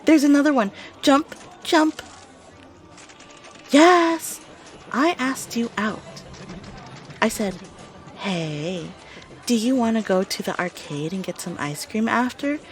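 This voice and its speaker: A woman's voice: on the high side, lively intonation, takes it slowly, pauses often, soft background music. A woman acting a playful young gamer on a date in an audio roleplay, teasing and blunt in a casual way. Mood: excited.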